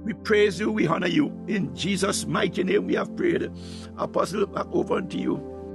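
A man's voice: high-pitched, moderate pace, gravelly, over music. A man preaching a fervent charismatic sermon, exclamatory and repetitive, reciting scripture and breaking into praise.

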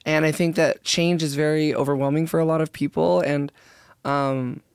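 A hoarse podcast co-host in casual chat, joking and rambling with lots of slang. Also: female voice, low-pitched, fairly even intonation, moderate pace, a little mellow, no echo, studio quality.

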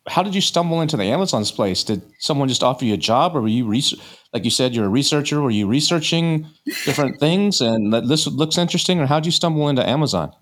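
A man's voice: mid-range voice, lively intonation, fast talker. A man hosting a podcast, asking questions in a casual, rambling way full of filler words. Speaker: podcast host. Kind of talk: casual interview chat.

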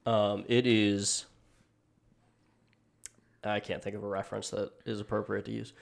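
Male podcast host, slightly low voice, loose and self-deprecating. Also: little pitch movement, measured pace, gravelly. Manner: rambling, filler-heavy, self-deprecating. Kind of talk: casual podcast chat.

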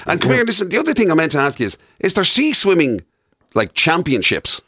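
A man's voice: high voice, huge pitch swings, a little fast, fairly bright tone, no echo, clean studio sound. An Irish man co-hosting a radio show in casual, chatty banter.

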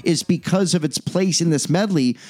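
A man's voice: a little high, moderate pace, darkish tone. A man co-hosting a podcast, chatting casually about music in a jokey, rambling way and often correcting himself. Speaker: podcast co-host.

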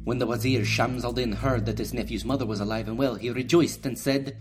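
A man's voice: mid-range voice, steady tone, fairly quick, over music. A man narrating a scripted audio drama as storyteller, in formal, archaic language.

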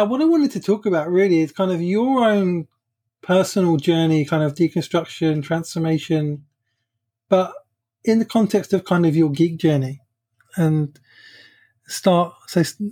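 A man's voice: sits high, measured pace, long pauses, a little mellow. A man hosting a podcast, speaking casually and hesitantly with many "um"s. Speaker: podcast host. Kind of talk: casual conversation.

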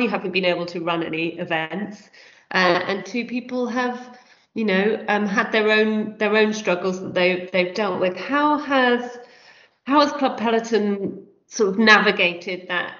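A woman's voice: medium pitch, fairly bright tone, roomy sound, highs cut off. A woman hosting an interview, asking long chatty questions with some rambling.

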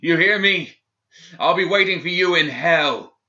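A man voicing a desperate, betrayed male captain locked in a cell, shouting and pleading with rage. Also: lowish voice, fairly even intonation, normal speed, lo-fi sound, close to an everyday voice. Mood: furious shouting.

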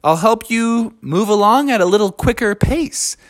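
A man's voice: high-pitched, expressive, normal speed, voice pushed up. A man voicing an eager, friendly grizzly bear in a children's story, in a raised, chipper voice.

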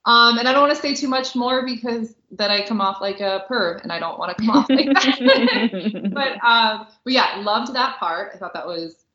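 A woman's voice: a little high, moderate pace, bright, forceful tone, slight background noise. A woman co-hosting a podcast in casual chat, gushing informally about what she enjoys. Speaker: podcast co-host and film critic. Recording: roomy, echoey, slightly dull audio.

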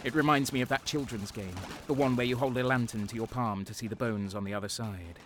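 A man playing a cold, sadistic soldier who narrates a dark war story with dramatic deliberation. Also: medium pitch, fairly even intonation, fast talker, a little hiss or hum, lightly acted. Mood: grim, detached. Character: cold-hearted, sadistic young male soldier turned traitor. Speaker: narrator and lead character.

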